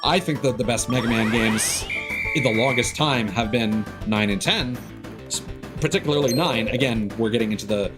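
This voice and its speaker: A man streaming games, chatting casually and giving opinions in halting phrases with emphatic bursts. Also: mid-range voice. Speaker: game streamer. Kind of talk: casual opinionated rambling on a livestream. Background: music behind the voice.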